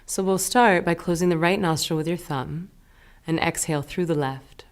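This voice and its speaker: Low, smooth-voiced woman guiding meditation. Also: normal speed. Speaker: guided meditation teacher. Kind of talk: guided meditation instruction.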